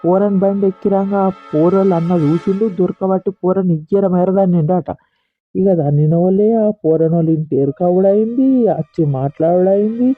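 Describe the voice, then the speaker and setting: Man playing a high-voiced bossy Telangana village wife. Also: brisk, faint music behind. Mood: gossipy, emphatic. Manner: emphatic, complaining, earthy. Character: know-it-all village wife, bossy gossip. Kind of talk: comic village gossip dialogue in a cartoon. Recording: dull, lo-fi cartoon soundtrack.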